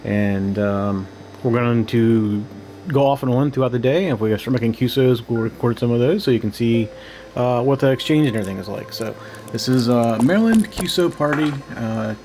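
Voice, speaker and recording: A man hosting a casual, unscripted radio hobby video, talking in a halting, low-key way as he thinks aloud. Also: darkish tone, slight background noise. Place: home radio shack.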